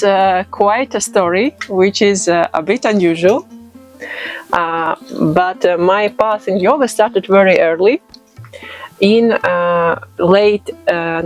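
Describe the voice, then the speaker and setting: Thoughtful Latvian-accented woman, many pauses. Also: medium pitch. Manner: thoughtful, with frequent pauses and "uh" fillers. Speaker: yoga expert guest. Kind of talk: interview answers.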